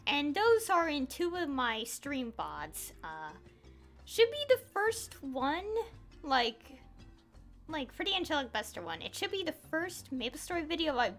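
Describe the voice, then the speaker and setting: High bright girly-pitched woman streamer chatting over a game, kinda rambly, corrects herself a lot. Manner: rambling, self-correcting explanations with repeated reminders. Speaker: gaming video creator and streamer. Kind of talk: casual pre-recorded gameplay commentary.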